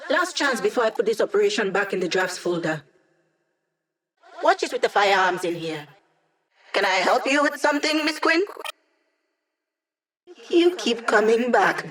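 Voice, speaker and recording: A woman's voice: medium pitch, sing-song, moderate pace, long pauses, fairly bright tone. Cheeky young hacker girl from a video game, breezy n wry, techy squad support chatter. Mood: dry, teasing. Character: witty young female hacker, a digitized mind, cheeky squad helper.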